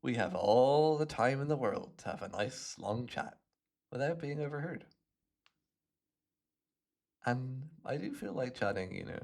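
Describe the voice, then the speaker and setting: A man's voice: mid-range voice, lively intonation, vocal fry, a little mellow. A man acting out a smug, chatty imprisoned supervillain with hypnotic telepathy, musing playfully to the listener in an ASMR roleplay. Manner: playful, smug, unhurried musing with little chuckles.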